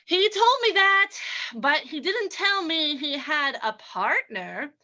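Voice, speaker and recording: A woman's voice: high-pitched, expressive, slow, bright, forceful tone, highs cut off, exaggerated voice. Woman acting a sultry, scheming radio-play femme fatale. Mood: flirtatious, coy. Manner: flirtatious, mocking. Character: sultry, scheming, ruthless female poisoner in a crime radio play. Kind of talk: old-time radio drama acting.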